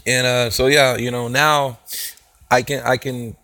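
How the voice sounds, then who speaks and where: A man with a hoarse voice from a cold, talking casually to his viewers as a channel host, rambling with many fillers and restarts. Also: medium pitch, flat delivery, unhurried, pushed and bright. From Texas. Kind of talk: casual talk to camera.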